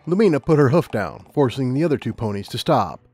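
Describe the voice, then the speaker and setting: A man's voice: medium pitch, lively intonation, fairly quick, a little mellow, no echo. Dry-voiced male storybook narrator over music. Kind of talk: scripted audiobook narration.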